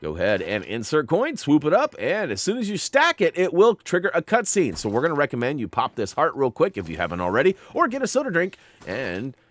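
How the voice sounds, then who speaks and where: A man's voice: fairly high pitch, wildly swooping pitch, a little fast, hardly any pauses, no room sound. A man narrating a video game walkthrough in a chatty, upbeat way with playful asides. He sounds a bit stuffy and nasal from irritated sinuses. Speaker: gaming YouTuber.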